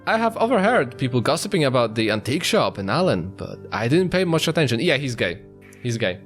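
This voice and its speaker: Male let's-play narrator, very lively mid-range delivery, playful and jokey, over background music. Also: medium pitch, brisk. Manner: playful, jokey, breaks into laughter. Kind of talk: animated visual novel read-aloud with joking asides. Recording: music behind the voice.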